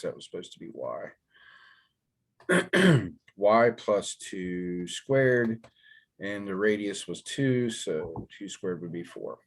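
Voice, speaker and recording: A very low-pitched man's voice, raspy and hoarse from a sore throat. He teaches math step by step, pausing often with ums and clearing his throat. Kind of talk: classroom lecture walkthrough.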